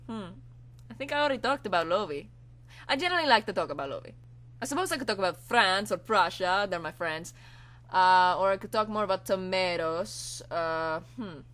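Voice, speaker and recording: A woman's voice: mid-range voice, fairly bright tone, lightly acted. A teenage girl voicing a cheerful, scatterbrained young Spanish man in an improvised anime monologue, with a put-on Spanish accent and a playful, rambling manner.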